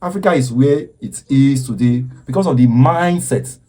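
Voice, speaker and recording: A man's voice: medium pitch, normal speed, dark tone. A man giving impassioned social commentary in an earnest, preaching way, often stopping to repeat himself.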